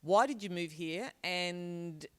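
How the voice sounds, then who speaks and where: A woman's voice: lowish voice, slow, smooth, no echo. A woman hosting a podcast, asking a guest long winding questions. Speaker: podcast host. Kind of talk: interview questions.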